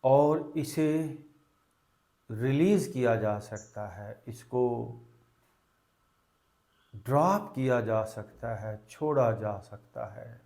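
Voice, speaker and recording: A man with a medium-pitched voice leads a guided meditation. He speaks very slowly and deliberately, in short calming phrases. Long pauses separate his instructions, as a teacher would leave them for students to follow.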